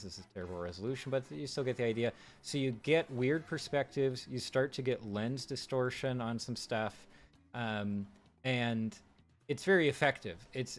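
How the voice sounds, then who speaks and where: A man streaming live, talking through film technique in a casual, musing way with fillers and restarts. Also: mid-range voice.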